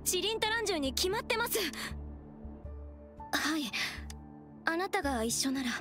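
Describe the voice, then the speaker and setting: A woman's voice: very high voice, sing-song, moderate pace. A woman voicing a polite, anxious young woman searching for her brother in a game dub. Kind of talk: Japanese game dub acting. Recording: game audio with loud music.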